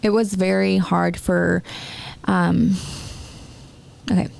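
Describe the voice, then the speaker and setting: A woman of twenty-three, raised in the United States, talking casually and haltingly in a personal podcast monologue. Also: on the low side, flat delivery, vocal fry, darkish tone. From born in Mexico, raised in the United States. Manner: halting, restarts her sentences repeatedly. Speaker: podcast host and entrepreneur.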